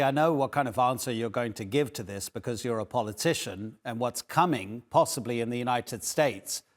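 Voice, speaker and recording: Male news anchor, measured, asks long multi-part questions. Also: mid-range voice.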